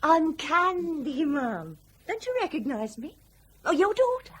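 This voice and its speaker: An actress playing a defensive, exasperated, slightly tipsy grown daughter in a radio drama. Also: female voice, sits high, big pitch swings, unhurried, a bit bright, full character voice. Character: defensive, tipsy married daughter, former air hostess.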